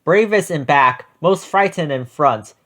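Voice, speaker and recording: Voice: mid-range voice, expressive, unhurried, fairly bright tone. A storybook reader voicing a confident, bossy female goat who leads the herd. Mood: confident, explaining. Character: Jaina, bold bossy female leader goat.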